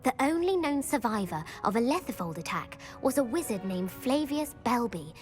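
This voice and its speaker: A woman's voice: a little high, big pitch swings, moderate pace, faint music behind. A woman playing an eager, bookish schoolgirl quizmaster in a video game, precise and enthusiastic. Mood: enthusiastic, instructive. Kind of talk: video game character acting.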